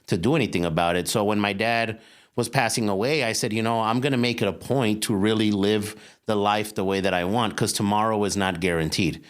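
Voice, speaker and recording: A man's voice: on the low side, a little fast, clean tone. A man in his forties from Los Angeles, a real estate investor and mindset coach, telling his story in a candid, casual interview. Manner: candid, storytelling, repeats words as he goes.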